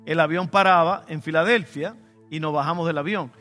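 A man's voice: on the high side, fairly quick, sharp tone, faint music behind. A male pastor with a Dominican Spanish accent, preaching a conversational sermon with personal stories and sudden emphatic bursts. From Dominican Republic.

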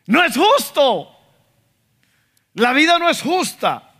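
Dominican pastor preaching in a reverberant hall. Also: male voice, very high voice, big pitch swings, slow pace, bright, forceful tone. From Dominican Republic. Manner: emphatic preaching, quotes others in acted asides. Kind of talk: sermon. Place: church sanctuary.

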